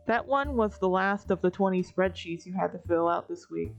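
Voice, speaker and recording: A woman's voice: on the low side, normal speed, gravelly, dull audio. A woman narrating a comic dub in the second person, with a wry, weary, conversational delivery. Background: soft music.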